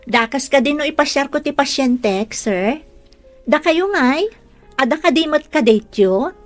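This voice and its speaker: Young female caregiver, radio drama. Ilocano accent, highish voice, playful, frank, caring; music bed. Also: animated, unhurried, no room sound. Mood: cheeky.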